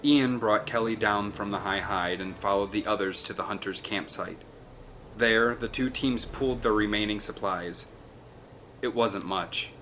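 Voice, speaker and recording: A man's voice: on the low side, a little slow, fairly bright tone, a little hiss or hum, poor audio. A man narrating an adventure audiobook in a dramatic, suspenseful, theatrical way. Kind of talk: dramatic scripted storytelling. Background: orchestral film soundtrack music.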